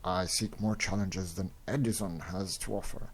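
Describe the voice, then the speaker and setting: A man's voice: sits low, fairly even intonation, normal speed. A man playing an eager, proud inventor, formal and earnest, in a comic-book drama. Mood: proud eagerness. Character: eager, proud male inventor, restless and adventurous. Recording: clearly noisy recording.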